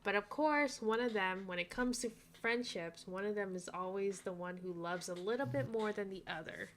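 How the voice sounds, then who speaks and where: A woman chatting casually as she co-hosts book reviews, with an expressive, dramatizing way of talking. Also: mid-range voice, moderate pace, slight background noise. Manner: expressive, exaggerates mock dialogue for emphasis.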